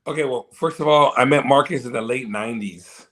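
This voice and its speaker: A man guesting in a casual interview, hoarse and tired, rambling and repeating words. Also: mid-range voice, fairly quick. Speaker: guest, event organizer.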